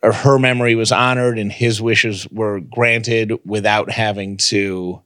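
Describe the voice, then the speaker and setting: A man's voice: fairly low pitch, steady tone, takes it slowly, fairly bright tone, no echo. A man co-hosting a podcast, chatting casually and personally. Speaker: podcast co-host. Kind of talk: casual banter and personal talk.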